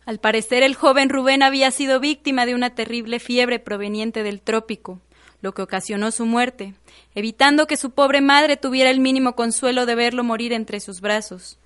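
A woman's voice: a little high, steady tone, normal speed, a bit bright, clean tone, lightly acted. A woman narrating a radio soap opera in a solemn, scripted storytelling style.

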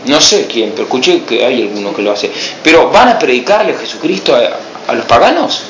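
A man's voice: mid-range voice, very animated, a little fast, fairly bright tone, echoey room, dull audio, slight background noise. A missionary priest from Buenos Aires with an Argentine accent, giving an informal talk to a small group and answering his own rhetorical questions with a firm no. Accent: Argentine Spanish, Buenos Aires.

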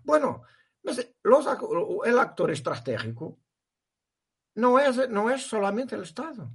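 Portuguese man speaking halting Spanish. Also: very high voice, sing-song, breathy edge. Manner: hesitant, many restarts, slips into Portuguese words. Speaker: guest academic. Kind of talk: academic conversation.

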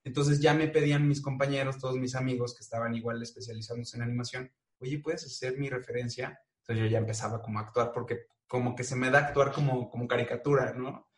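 A man's voice: mid-range voice, fairly even intonation, fast, hardly any pauses, reverberant. A young man with a Mexican accent, a joking, chatty guest in a casual interview, talking about his animation and voice work. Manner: playful, joking, chatty with frequent fillers.

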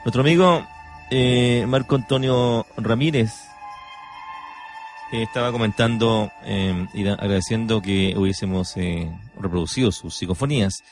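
A man's voice: on the low side, normal speed, faint music behind. A man hosting a conversational radio talk show, speaking emphatically.

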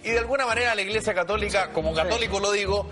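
A Chilean man with a high voice gives conversational commentary. He is hesitant and often repeats words while finding his phrasing. Music is clearly audible behind him. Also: rapid-fire. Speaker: talk show panelist.

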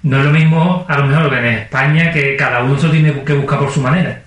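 A man's voice: fairly high pitch, steady tone, quick pace, a bit bright, dull audio. A man co-hosting a casual chat, retelling listener stories in an easygoing way. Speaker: podcast co-host. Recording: very echoey room.

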